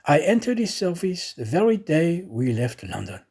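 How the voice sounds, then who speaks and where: A man voicing a talkative, excitable, naive French manservant in a storybook, chatty and emphatic. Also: on the high side, moderate pace, slightly rough, pitched above the performer's own voice, full character voice. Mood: chatty, confiding.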